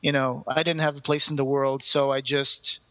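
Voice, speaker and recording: A man co-hosting a podcast, casually retelling and discussing a play in a rambling, halting, earnest way. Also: mid-range voice, flat delivery, lo-fi sound. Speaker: podcast co-host.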